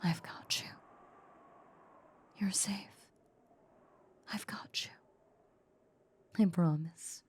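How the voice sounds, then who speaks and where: Hushed, slow-speaking possessive vampire woman, acted by a woman. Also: fairly low pitch. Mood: soothing, tender. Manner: soothing, hushed, often whispering. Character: possessive female vampire, tender, doting, softly obsessive. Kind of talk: intimate ASMR roleplay.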